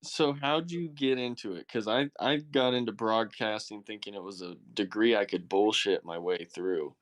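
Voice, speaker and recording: A man with a fairly low voice hosts a podcast, recorded dry with little room sound. He chats casually in laid-back slang and swears freely. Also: moderate pace, no room sound. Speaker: podcast host. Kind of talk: casual chat, slangy interviewing.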